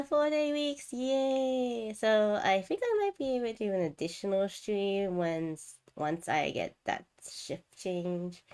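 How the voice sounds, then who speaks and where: A woman's voice: fairly low pitch, smooth. A woman hosting a game livestream, chatting casually and rambling as she thinks aloud. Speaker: game streamer, host.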